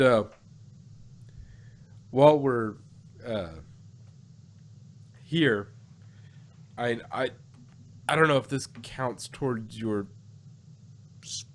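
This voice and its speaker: A man's voice: medium pitch, a little hiss or hum. A man co-hosting a casual review podcast, chatting unscripted in a rambling, joking way. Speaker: podcast co-host reviewing audio dramas.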